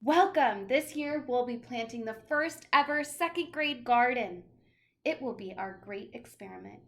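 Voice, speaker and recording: A woman's voice: fairly high pitch, a little slow. A woman voicing an encouraging, knowing schoolteacher with playful humor and emphatic delivery in a storybook reading. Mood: enthusiastic.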